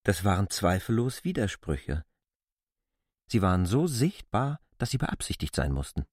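A man's voice: fairly low pitch, sing-song, normal speed, no echo, clean studio sound. A man giving a scripted literary reading as a narrator in a radio play.

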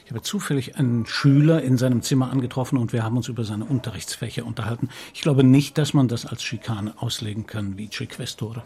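An actor playing a tactful, sceptical police detective in a radio crime drama, measured and politely insistent. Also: male voice, medium pitch, mellow, slightly rough. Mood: polite, guarded. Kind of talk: understated radio play acting.

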